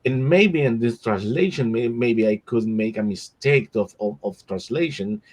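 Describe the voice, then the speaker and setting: A man's voice: mid-range voice, measured pace, a little mellow. A man whose first language is Spanish, speaking as a panelist in an online discussion, often restarting and searching for words.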